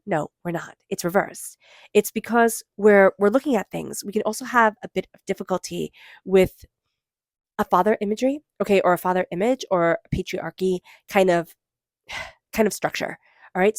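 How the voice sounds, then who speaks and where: A woman with a stuffy cold giving a spiritual tarot reading, gentle and meandering, slowing into soft chanted invocations. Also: fairly low pitch, dry recording. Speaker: tarot reader.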